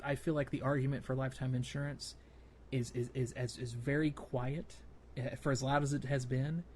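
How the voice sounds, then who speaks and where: A man with a medium-pitched voice chats as a podcast co-host. He explains things step by step, thinking aloud in a casual way. There is clear ambient noise behind him. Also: flat delivery, normal speed, darkish tone. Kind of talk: casual podcast discussion of gaming.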